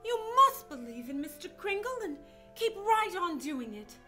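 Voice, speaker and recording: A woman playing a loving, earnest mother in a radio play, expressive and emphatic. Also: very high-pitched, normal speed, soft background music, full character voice. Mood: earnest, reassuring. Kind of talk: community radio play acting.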